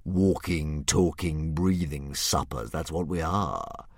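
A man voicing a huge, vain male dragon who talks like a cheerfully menacing philosopher, slow and condescending. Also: extremely low pitch, dry recording, studio quality, pitched below the performer's own voice, full character voice. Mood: musing. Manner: slow, drawn-out, grandly condescending. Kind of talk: audiobook character voice.

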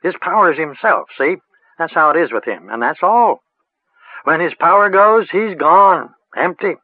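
A woman's voice: on the low side, expressive, moderate pace, no echo, voice pushed up. A man voicing an old female village witch who speaks in a rustic, sing-song dialect, over a tinny, phone-like line. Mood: musing. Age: older.

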